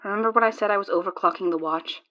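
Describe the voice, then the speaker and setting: A woman's voice: mid-range voice, racing, lo-fi sound, noisy background, natural voice. A woman playing a cocky, mischievous adventurer in an audio drama, taunting and theatrical. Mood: explaining. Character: cocky, mischievous female card-playing adventurer, sharp-tongued.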